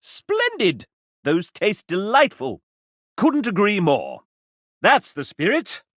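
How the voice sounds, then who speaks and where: A man voicing a sassy, arch gentleman who narrates a dating video game in a theatrical, mock-genteel and sardonic manner. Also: sits high, wildly swooping pitch, slow pace, dry recording, studio quality, exaggerated voice. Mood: delighted, grandiose.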